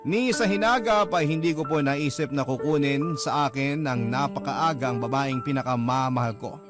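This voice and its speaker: A man's voice: mid-range voice, moderate pace. A man narrating a first-person letter in a radio drama, in an earnest, confessional and respectful way. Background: music.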